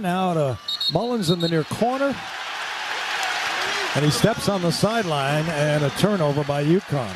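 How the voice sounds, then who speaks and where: A male basketball radio announcer with a high voice calls the game live. His delivery comes in clipped, excitable running descriptions. A clearly audible crowd and arena noise sit behind him. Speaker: play-by-play announcer. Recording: courtside with loud crowd and gym noise. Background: crowd and arena ambience.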